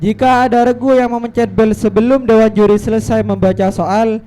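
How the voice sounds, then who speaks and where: High, mellow-voiced man reading rules. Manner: measured, even, formal reading aloud. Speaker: rules reader at a school quiz competition. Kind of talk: formal reading of competition rules. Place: event hall at an Islamic boarding school.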